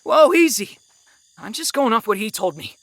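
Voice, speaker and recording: A man playing a weary, bewildered wounded man, creaky and hesitant, in an audio drama. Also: very high voice, big pitch swings, moderate pace, fairly bright tone, dry recording, exaggerated voice. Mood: confused. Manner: hesitant, stammering.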